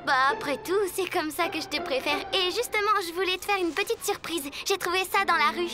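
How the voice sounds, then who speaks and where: A woman voicing a sweet, forthright little schoolgirl with a girlish, earnest manner in a French animated series. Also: extremely high pitch, brisk, music in the background. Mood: affectionate.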